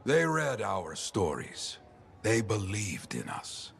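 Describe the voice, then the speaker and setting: An actor playing a wistful, courteous male storyteller in a video game, reflective and gently philosophical. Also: fairly low pitch, exaggerated voice. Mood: genial, wry. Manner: expressive, reflective, measured. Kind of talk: video game dialogue acting. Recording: clear ambient noise behind the voice.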